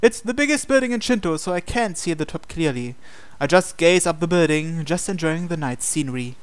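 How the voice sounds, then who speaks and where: A man's voice: a little high, expressive, brisk, clean tone. A man hosting a game playthrough, reading visual novel narration aloud expressively with casual asides.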